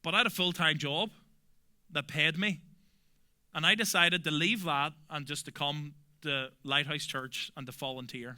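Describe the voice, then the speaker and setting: A young adult man from Belfast with a Belfast accent, preaching a sermon earnestly and emphatically, often dropping to soft, quiet endings. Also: a little high. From Northern Ireland. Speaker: pastor.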